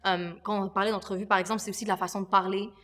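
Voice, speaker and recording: A woman's voice: medium pitch, flat delivery, very fast, a bit bright. A woman from Quebec explaining things informally as a guest on a panel. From Quebec, Canada. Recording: reverberant room.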